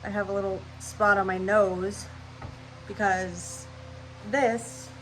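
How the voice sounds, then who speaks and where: A woman's voice: mid-range voice, fairly even intonation, takes it slowly, background noise. A young woman with a stuffy, nasal voice, rambling casually through her channel intro at home. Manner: scatterbrained, rambling, chatty. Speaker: vlogger.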